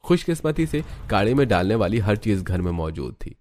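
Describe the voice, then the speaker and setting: A man's voice: fairly low pitch, big pitch swings, fairly quick, darkish tone. A man reading scripted narration for a family cartoon story.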